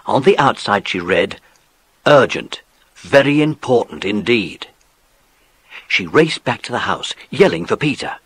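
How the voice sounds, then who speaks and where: A British man narrating a dramatised children's story in an expressive storytelling manner. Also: on the low side, unhurried, long pauses, slightly rough. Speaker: audiobook narrator.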